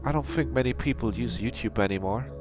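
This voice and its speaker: A shy, courteous visual novel character, played by a man reading aloud speaks in a raised, tentative voice. The delivery is polite and unsure, over quiet game music. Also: mid-range voice, fairly quick, pitched above the performer's own voice, close to an everyday voice. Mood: timid, hesitant.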